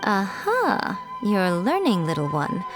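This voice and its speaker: A woman's voice: mid-range voice, wildly swooping pitch, slow, music in the background. A woman playing a sly, elegant, worldly-wise familiar in a video game, speaking in a coy, condescending, theatrical manner. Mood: explanatory, condescending. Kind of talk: video game dialogue acting.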